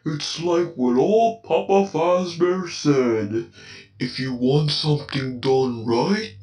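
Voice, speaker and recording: A man voicing a sinister animatronic performer from a game, with theatrical, drawn-out, menacing delivery. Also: fairly high pitch, darkish tone. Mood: smug. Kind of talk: scripted game character lines. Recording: echoey, distant, music behind.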